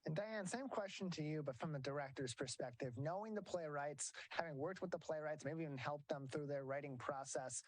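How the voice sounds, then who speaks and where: A man's voice: on the high side, fairly quick, hardly any pauses, low-quality recording. A man hosting a broadcast interview, speaking in a wordy way and repeating details for listeners. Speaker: interview host.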